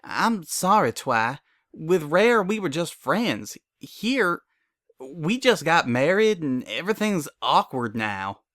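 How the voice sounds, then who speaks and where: A man's voice: a little high, sing-song, takes it slowly, a bit bright, dry recording, studio quality, pitched above the performer's own voice, heavily acted. A man voicing a stubborn, flustered country mare with a folksy, plain-spoken manner in a story reading. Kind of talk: dramatic fan fiction reading.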